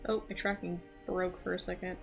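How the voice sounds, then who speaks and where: A woman giving casual, rambling commentary on a live gaming stream, thinking aloud in an excitable, giggly way. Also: fairly low pitch, fairly quick, vocal fry, lo-fi sound, soft background music. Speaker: livestreamer playing a role-playing game.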